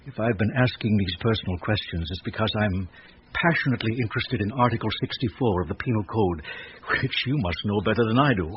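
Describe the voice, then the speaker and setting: A man playing a courteous, erudite, middle-aged gentleman with an obsession, speaking deliberately and at length in a radio drama. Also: lowish voice, natural voice. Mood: probing. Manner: genteel, probing, deliberate, loquacious. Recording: dull old radio recording, faint noise.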